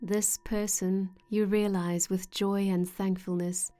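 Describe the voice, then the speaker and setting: A woman leading a scripted guided meditation in a gentle, soothing way with measured pauses. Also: medium pitch, little pitch movement. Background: soft meditation music with singing-bowl tones.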